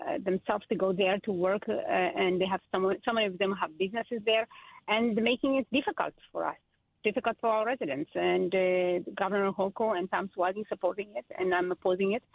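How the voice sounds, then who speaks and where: A woman in her thirties, born in Ethiopia and raised in Israel, answering interview questions as a political candidate, with halting speech full of fillers and restarts. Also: medium pitch, vocal fry, dry recording. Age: 30s. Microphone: telephone. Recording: tinny phone line.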